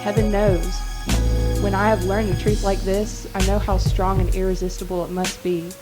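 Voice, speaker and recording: A woman playing a dignified, sorrowful young fiancée in a radio play, speaking in measured, formal, mournful phrases. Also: mid-range voice, little pitch movement, loud music behind the voice, natural voice. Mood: mournful, firm.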